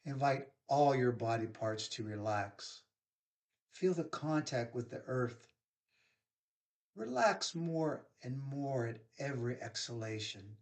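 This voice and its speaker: A man leading a guided yoga nidra relaxation, giving soothing, unhurried instructions with pauses. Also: mid-range voice, expressive.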